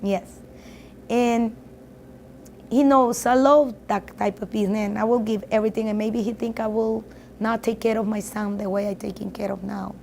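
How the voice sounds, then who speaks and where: Woman, Salvadoran accent, fifties, native Spanish speaker, heartfelt and reflective, mid-pitched voice, faint background noise. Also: level tone, normal speed, creaky, a little mellow. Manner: heartfelt, reflective, often restarting phrases. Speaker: barber shop owner. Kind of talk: documentary interview.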